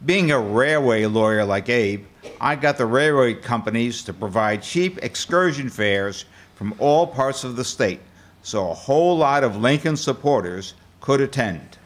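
A man's voice: mid-range voice, lively intonation, a little slow, lightly acted. A man in his sixties with an American accent reading the part of a shrewd 19th-century political organizer. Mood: matter-of-fact, shrewd. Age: 60s. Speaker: amateur radio play actor. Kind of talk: scripted first-person historical monologue. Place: lecture hall stage before a seated audience. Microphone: podium microphone.

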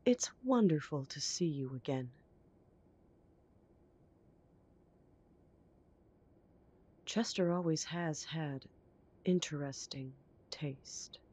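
A woman playing a poised, prying mother who talks in a genteel, gently condescending way in an audio roleplay. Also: low voice, lively intonation, measured pace, breathy edge, heavily acted. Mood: politely condescending. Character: poised, prying, polite upper-class mother. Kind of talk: scripted audio roleplay acting.

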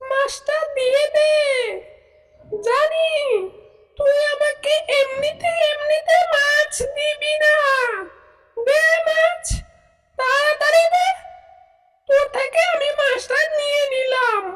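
A menacing female petni ghost from a cartoon. The voice is extremely high and squeaky, dragging out each hungry threat very slowly, with music playing behind. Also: steady tone, fairly bright tone, full character voice. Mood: commanding, impatient. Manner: slow, drawn-out threats and commands. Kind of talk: Bengali ghost cartoon voice acting.

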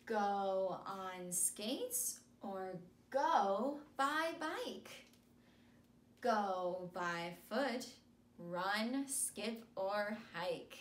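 A woman's voice: medium pitch, lively intonation, very slow. A young woman working as a school speech therapist reads a picture book aloud to children in simple, emphatic, repeated phrases. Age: young adult. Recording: echoey room with noticeable hiss.

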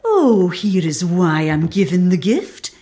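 A woman's voice: on the low side, very animated, dark tone. A woman playing a proud, strong-minded, aristocratic middle-aged widow with an imperious manner in a radio play. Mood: moved, excited. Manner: imperious, declamatory, deliberate. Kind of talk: radio drama acting.

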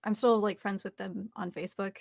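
Young woman guest chatting casually, average pitch, dry close audio. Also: fairly even intonation, fairly quick, vocal fry, dry recording. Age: young adult. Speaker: interview guest, voice actor. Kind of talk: casual chat.

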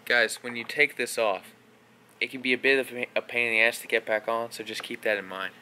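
A man's voice: mid-range voice, brisk, slight background noise. Young man vlogging from a workshop. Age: young adult. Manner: relaxed, talks while working, frequent uh and um. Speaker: vlogger doing equipment maintenance. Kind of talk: casual how-to vlog. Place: workshop garage, indoors. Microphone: action camera close up.

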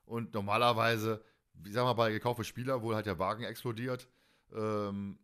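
A man in his forties from Germany chatting casually as a podcast host, in an animated, rambling, colloquial way. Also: lowish voice, normal speed. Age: 40s. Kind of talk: casual chat.

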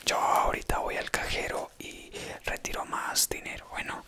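A man's voice: moderate pace, bright, forceful tone. A man whispering an ASMR roleplay as a doting, gullible boyfriend.

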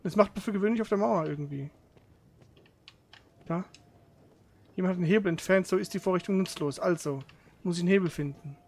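Male streamer, notably high voice, rapid delivery, casual, sweary, exasperated gameplay chatter. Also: darkish tone. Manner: frustrated, grumbling, sweary. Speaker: video game streamer.

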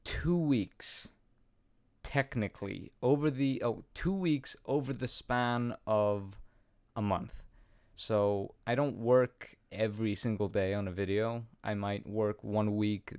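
Young man, slow rambling home Q&A. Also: fairly low pitch, fairly even intonation, darkish tone, clear, resonant tone, no room sound. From Iceland. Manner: rambling, self-deprecating, lots of ums and laughs. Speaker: channel host answering viewer questions. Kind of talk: casual Q&A chat. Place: small home room, indoors. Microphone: studio condenser mic with pop filter, wearing headphones.

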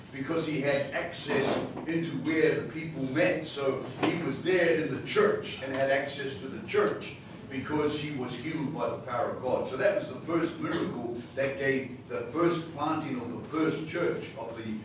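An older man, a visiting evangelist teaching in a playful, emphatic preaching style that stretches out key words. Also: mid-range voice. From New Zealand. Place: large tiled classroom, indoors. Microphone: recorded by a distant camera. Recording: echoey room from far off with background noise.

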